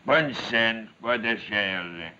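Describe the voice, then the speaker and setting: A man's voice: on the low side, expressive, takes it slowly, pushed and bright, exaggerated voice. A man acting as a middle-aged village mayor in a 1950s television drama, sly and folksy, with a Quebec French accent. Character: sly village mayor, needling and falsely modest. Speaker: actor playing a village mayor. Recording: muffled, hissy 1950s television soundtrack.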